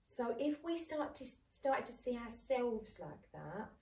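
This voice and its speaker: A woman's voice: on the high side, takes it slowly, creaky, far from the mic. A woman in her thirties co-hosting a casual chat, talking animatedly and acting out what people said. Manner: animated, acts out quoted speech. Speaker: podcast co-host. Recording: echoey room, off-mic.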